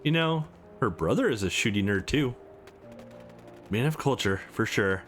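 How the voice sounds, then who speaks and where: A man's voice: on the low side, lively intonation, moderate pace, a bit bright, soft background music. A man voicing an exasperated sister from a visual novel, bluntly teasing her brother.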